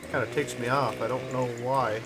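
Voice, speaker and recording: Gravelly stuffed-up man streaming a game. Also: mid-range voice, normal speed, music in the background. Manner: relaxed, rambling, chuckles often. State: stuffed up, congested. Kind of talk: casual commentary while playing. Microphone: streaming mic covered with a sock. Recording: loud game noise behind voice. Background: chainsaw and vehicle sounds from the game.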